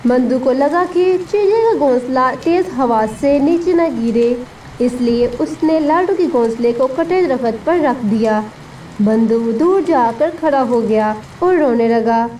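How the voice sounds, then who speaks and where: A woman's voice: high voice, measured pace. A woman narrating a scripted story for children. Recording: distant voice with steady rain noise.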